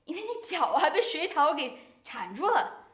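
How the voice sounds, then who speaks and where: A woman with a strong Mandarin Chinese accent playing an actress in a rehearsal, telling a lively folk tale in Mandarin. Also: sits high, normal speed, roomy sound. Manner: animated folk storyteller in Mandarin. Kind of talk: short film acting.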